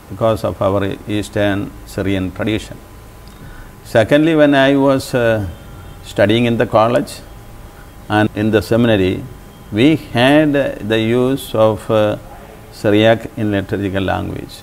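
A man in his seventies, with a Malayali English accent, talks slowly and thoughtfully, often pausing on an "uh". His low voice has a gravelly grain. He speaks into a lapel mic in a bright indoor room. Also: a little hiss or hum. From Kerala, India. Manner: measured and thoughtful, frequent pauses with "uh". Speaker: interview guest, church leader. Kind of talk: reflective conversation.

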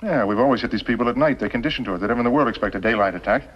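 An actor playing a bitter, cunning outlaw mastermind in a 1950s television western. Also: male voice, mid-range voice, racing, lightly acted. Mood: cold scheming. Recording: muffled, noisy old television soundtrack.

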